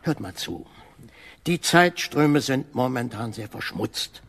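Radio sci-fi: solemn old alien prophet of doom, raspy and creaky, urgent delivery. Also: male voice, mid-range voice, lively intonation, a bit bright, exaggerated voice. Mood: grave, portentous. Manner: slow, portentous, urgent explanations. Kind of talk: science-fiction radio drama acting.

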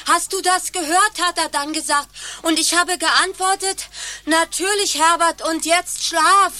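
A chatty older widow in a radio comedy. She has a high, bright, forceful voice and a matter-of-fact way of talking. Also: steady tone, a little slow, full character voice. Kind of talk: German satirical radio play acting.

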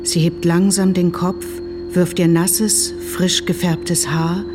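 An actress playing a detached, matter-of-fact narrator who reads screenplay-like directions in short phrases. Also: female voice, lowish voice, level tone, slow, music in the background.

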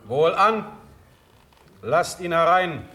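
An actor playing a stern, shrewd ruler in a classical verse radio drama, speaking formally and with deliberate authority. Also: male voice, on the high side, very animated, pushed and bright, exaggerated voice. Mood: formal, commanding. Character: commanding sovereign prince, stern, shrewd, measured ruler.

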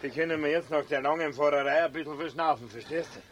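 A man's voice: fairly high pitch, fast talker, a little hiss or hum, natural voice. An actor playing an easygoing, careless man in a children's radio play. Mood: nonchalant. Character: easygoing, careless male day-tripper.

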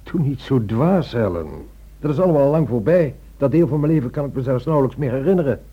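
A man's voice: mid-range voice, lively intonation, fast talker, slightly rough. An actor playing a tense, evasive husband haunted by his past, in a radio drama. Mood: defensive. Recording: muffled, noisy old radio play recording.

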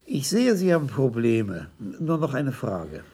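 An actor playing a calm, shrewd senior police commissioner in a radio crime drama, with measured, probing speech. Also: male voice, mid-range voice, slightly rough. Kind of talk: 1980s German radio drama acting.

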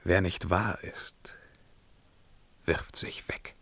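An actor playing a tormented, world-weary emperor in a German verse radio drama, declaiming between outcries and hushed murmurs. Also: male voice, low voice, steady tone, very slow, vocal fry, no room sound, in a whisper, exaggerated voice. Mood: hushed, solemn.

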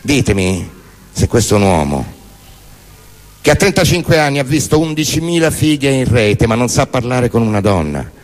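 Man, medium pitch, theatrical recitation, deadpan, declamatory phrasing. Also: normal speed, soft background music. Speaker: stage performer reciting poems and prose monologues. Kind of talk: theatrical spoken-word recitation.

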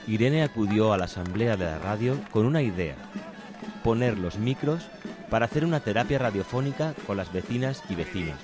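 A man with a lowish voice reads documentary narration in a measured, deliberate way. Clear music plays behind him.

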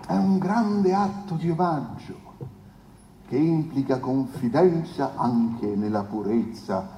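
An actor playing a pompous male defence lawyer in a 1970s trial, pleading with grand, insinuating rhetoric and dramatic pauses in a theatrical stage drama. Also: medium pitch, warm tone. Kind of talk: theatrical courtroom oration. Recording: echoey reverberant hall, noisy background.

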